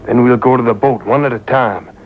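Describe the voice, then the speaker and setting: A man's voice: mid-range voice, brisk, close to an everyday voice. A man acting in an old-time radio drama as a confident, scheming outlaw, on a muffled, noisy taped broadcast.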